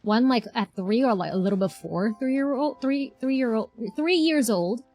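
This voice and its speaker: A woman's voice: on the high side, normal speed, darkish tone. A woman streaming casually, chatting and thinking aloud as she reacts to a video game. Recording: game music behind the voice.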